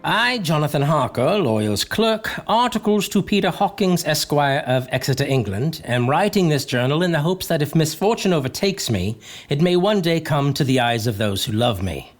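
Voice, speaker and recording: A man's voice: a little high, animated, faint music behind, natural voice. An actor playing an anxious young English lawyer's clerk recounting his journal in a hesitant, foreboding audio drama style. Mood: earnest. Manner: hesitant, foreboding, with halting repetitions. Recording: clear background noise, carriage ambience.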